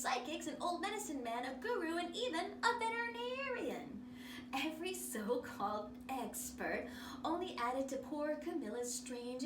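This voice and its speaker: High, animated woman telling a children's story. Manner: theatrical, expressive. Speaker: drama teacher reading a picture book aloud. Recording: roomy, distant mic, noisy background.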